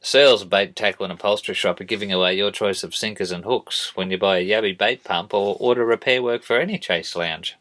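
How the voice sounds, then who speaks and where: Folksy low-voiced local radio pitchman, acted by a man. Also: fairly quick, hardly any pauses, a bit bright, natural voice. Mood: salesmanlike. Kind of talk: parody radio advert read.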